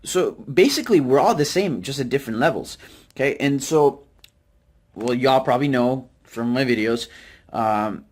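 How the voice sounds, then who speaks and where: A man's voice: mid-range voice, lively intonation, moderate pace. A man from Utah with an American accent talks casually and candidly as a vlogger, in a hesitant, self-deprecating, rambling way with informal slang. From Utah, United States. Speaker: vlogger, network marketer. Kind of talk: casual, candid talk to camera.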